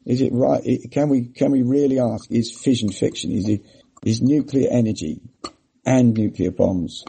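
Man, casual chat, rambling, hesitant, husky, mellow dark voice. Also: mid-range voice, moderate pace.

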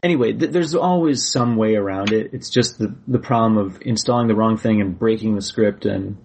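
A man's voice: on the low side, fairly quick, darkish tone, a little hiss or hum. A man chatting casually as a guest, polite and matter-of-fact. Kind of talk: casual chat.

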